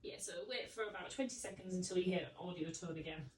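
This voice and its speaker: A woman's voice: lowish voice, fast, slight background noise. A woman chatting casually at home with a partner, sometimes reading instructions aloud as she goes. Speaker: parent vlogger setting up a children's gadget. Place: indoors at home. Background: music.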